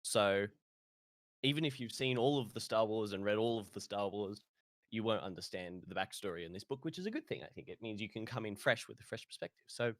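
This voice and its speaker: Animated man chatting on a podcast. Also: mid-range voice, no room sound, studio quality. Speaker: podcast co-host. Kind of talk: casual chat on a livestream podcast.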